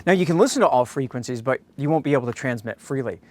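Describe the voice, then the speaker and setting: A man's voice: mid-range voice, animated, fast talker. An American man hosting a product review, speaking to viewers in a blunt, opinionated way. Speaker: host of a radio review.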